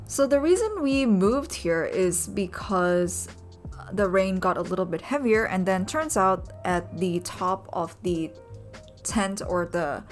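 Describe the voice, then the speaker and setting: A young woman, a native Indonesian speaker, narrating her sketching vlog in a soft, rambling way with many ums. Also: mid-range voice, slow, soft background music. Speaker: vlogger and urban sketcher. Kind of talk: casual voice-over narration.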